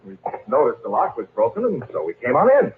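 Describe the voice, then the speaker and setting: An actor playing a curious, level-headed husband in old-time radio drama, with a brisk conversational manner. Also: male voice, mid-range voice, sing-song, close to an everyday voice. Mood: brisk, intrigued. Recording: muffled, noisy old radio recording.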